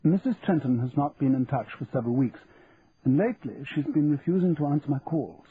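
A man's voice: on the high side, fairly quick, husky, pitched above the performer's own voice. A man voicing a pompous, easily affronted middle-aged male auctioneer in a stiff, formal manner, for an audiobook. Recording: tinny phone-like sound with faint hiss.

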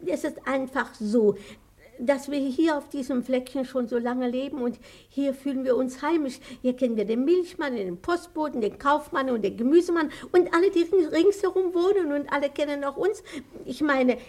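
High-voiced, meek old lady. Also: a little mellow. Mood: hesitant, pleading. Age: older. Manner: halting, timid, repeating herself. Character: meek, hesitant old lady clinging to her little house. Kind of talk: German radio play acting.

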